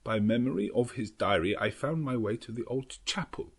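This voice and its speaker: A male narrator plays an elderly professor who hunts vampires in a gothic audiobook. His husky voice is solemn and anguished. He speaks slowly and dramatically, in halting foreign phrases. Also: mid-range voice. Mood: solemn dread.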